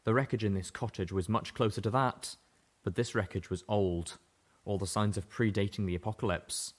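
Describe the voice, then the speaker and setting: Low-voiced man reading a story aloud. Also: fairly even intonation, moderate pace. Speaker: audiobook reader. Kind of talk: scripted story narration.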